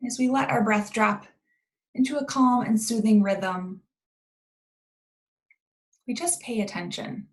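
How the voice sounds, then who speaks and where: A woman leading a guided meditation in a calm, gentle, unhurried way with long pauses and a creaky voice. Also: on the high side.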